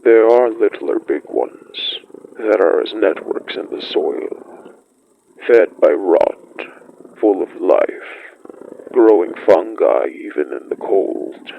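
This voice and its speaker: Casting: male actor for primeval wolf elder, horror fiction podcast. Grave, poetic, slow delivery with a heavy creaky rasp. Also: low voice, expressive, phone-quality sound, a little hiss or hum, full character voice. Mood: ominous, awed. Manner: solemn, measured, poetic. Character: ancient great wolf-like beast, solemn pack elder, recalling primeval winters. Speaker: storyteller. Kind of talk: scripted dramatic monologue, horror fiction podcast.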